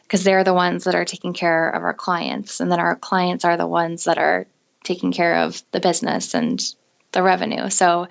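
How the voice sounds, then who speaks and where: A woman in her thirties with a soft voice, a business owner telling her story in a podcast interview, earnest and reflective with frequent fillers. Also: on the low side, gravelly. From United States. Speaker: entrepreneur guest.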